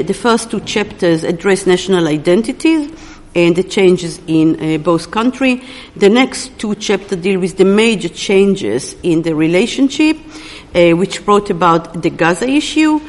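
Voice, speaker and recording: A woman presenting an academic book at a conference, speaking in a halting way and often searching for words mid-sentence. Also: medium pitch. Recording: long reverb of a large hall.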